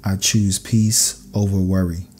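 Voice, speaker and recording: A man narrating scripted sleep meditation affirmations in a calm, soothing, measured way. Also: low voice, slight background noise.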